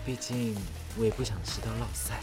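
A man's voice: mid-range voice, fairly even intonation, brisk, a little mellow, lightly acted. Man playing bossy young Taiwanese cook, music behind. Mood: indignant, bossy. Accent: Taiwanese Mandarin. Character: bossy, fussy young male cook of the dorm. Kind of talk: audio drama acting.

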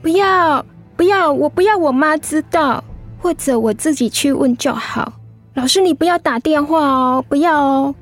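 A woman's voice: high voice, moderate pace, soft background music, close to an everyday voice. A woman playing a timid, worried seventeen-year-old schoolgirl in a radio drama, with a Taiwanese Mandarin accent and a hesitant manner. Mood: anxious pleading. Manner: hesitant, anxious.

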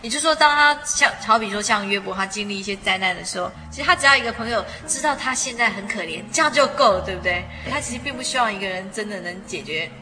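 Woman, mid pitch, fast chatty delivery, agreeable radio host, music bed underneath. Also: expressive, bright, forceful tone. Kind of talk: casual conversational discussion. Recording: long reverberant tail, music underneath. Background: background music.

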